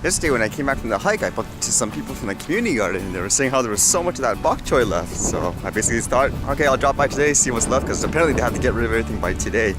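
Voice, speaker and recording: A man with a medium-pitched voice chats in an informal vlog style over clearly audible music. He reads items aloud and muses on them, often posing questions to himself and his viewers. He sounds like he is recording outdoors on a small camera. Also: very animated, rapid-fire, few pauses. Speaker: vlogger. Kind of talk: casual vlog chat, reading news items aloud with comments. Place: outdoors in a windy urban area. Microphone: action camera. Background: clear background music.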